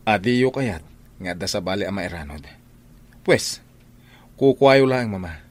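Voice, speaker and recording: A man voices a young son in an Ilocano radio play who stands up to his mother. His voice sits low and stays firm and earnest. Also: lively intonation, unhurried. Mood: defiant. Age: young adult. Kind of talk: radio drama acting.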